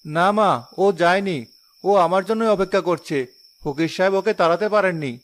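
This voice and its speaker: A man's voice: high voice, moderate pace, lightly acted. A man playing a haunted, resigned young village son in a horror cartoon, solemn and fatalistic. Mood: resigned calm.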